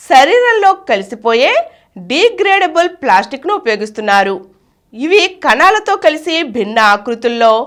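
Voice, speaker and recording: A woman's voice: on the high side, a bit bright. A woman presenter reading a scripted educational explainer on health science.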